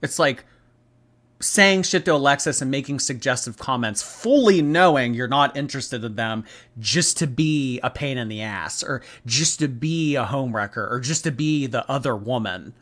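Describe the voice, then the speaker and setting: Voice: medium pitch, normal speed, a bit bright. A commentator giving casual, emphatic reactions, blunt and given to repeating phrases for effect. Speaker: host reacting to clips.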